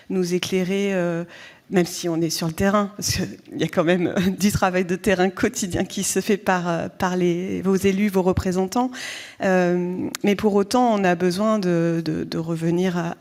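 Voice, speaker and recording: Lower-voiced woman speaking haltingly on a panel. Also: darkish tone. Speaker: local elected official on a panel. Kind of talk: round-table panel remarks.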